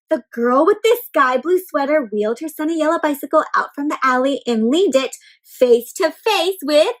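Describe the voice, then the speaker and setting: A woman reading a picture book aloud to young children, expressive and full of exclamations and questions to her listeners. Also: very high voice. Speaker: children's read-aloud storyteller.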